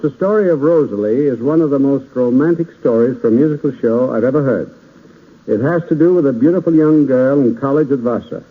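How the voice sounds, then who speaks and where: A man's voice: mid-range voice, phone-quality sound, natural voice. A man playing the patient, slightly exasperated adult humoring a pestering child in 1930s radio comedy, speaking politely. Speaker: radio show host playing comic straight man. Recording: thin, noisy 1930s radio broadcast.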